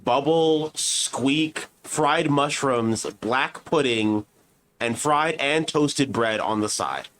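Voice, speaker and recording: A man's voice: mid-range voice, takes it slowly, a bit bright, slight background noise. A man co-hosting a podcast in casual group chat, complaining playfully with wry remarks and some swearing. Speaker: podcast co-host.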